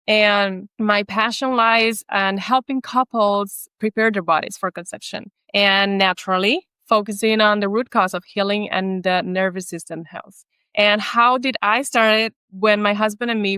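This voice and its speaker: Puerto Rican woman, smooth earnest voice. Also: medium pitch, fairly bright tone, dry recording. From Puerto Rico. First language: Spanish. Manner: earnest, storytelling. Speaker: guest chiropractor. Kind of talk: podcast interview.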